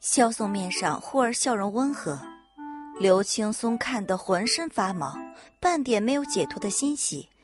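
A woman narrating a web audio novel in an even storytelling manner. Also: medium pitch, a little slow, soft background music.

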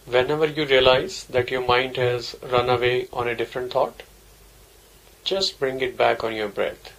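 A man giving guided meditation instructions as a teacher, in measured, simple, repetitive phrases. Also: mid-range voice, fairly even intonation, a bit bright, poor audio.

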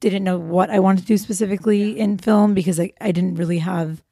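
A woman's voice: medium pitch, steady tone, fairly quick, creaky, warm tone, no echo, studio quality. A woman film producer from Los Angeles, chatting eagerly as a podcast guest, with many fillers and restarts. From Los Angeles, USA. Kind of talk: casual interview chat.

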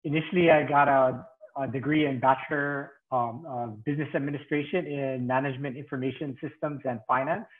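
A man's voice: mid-range voice, steady tone, brisk. A middle-aged man from Hawaii, a field veterinarian presenting over a video call, hesitant with many fillers. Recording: tinny phone-like call audio.

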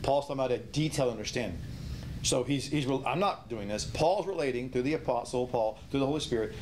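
A man's voice: medium pitch, a little hiss or hum. A middle-aged man teaching a Bible study in a small room, emphatic, firing rhetorical questions and restarting his sentences. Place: small indoor room with whiteboard.

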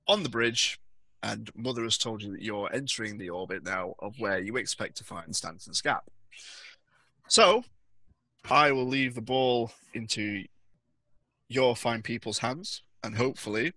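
Bright, husky-voiced man running a game. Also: mid-range voice, no echo, low-quality recording. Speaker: game master. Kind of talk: narrating a tabletop roleplaying game. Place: indoors, on a group video call.

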